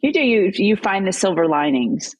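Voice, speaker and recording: A woman hosting an interview podcast, engaged with her guest and quick to agree and jump in with her own thoughts. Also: medium pitch, expressive, darkish tone, no room sound, rough recording. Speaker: podcast host. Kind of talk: interview conversation.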